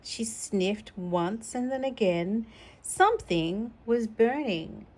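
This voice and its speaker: A woman's voice: fairly high pitch, animated, slow pace, darkish tone. A woman reading a picture book aloud to a young child, expressively, with chatty asides. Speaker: storytime reader.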